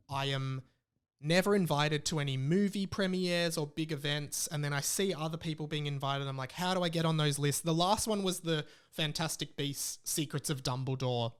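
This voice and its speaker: A man with an Australian accent hosting a podcast in casual, informal chat with a mock-aggrieved way of talking. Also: on the high side. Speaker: podcast host.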